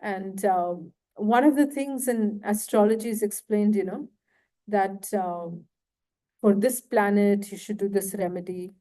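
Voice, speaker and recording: Slow, soft-toned woman lecturing. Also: medium pitch. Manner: slow, asks the audience questions. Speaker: guest lecturer. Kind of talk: devotional lecture. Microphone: handheld mic, failing at times.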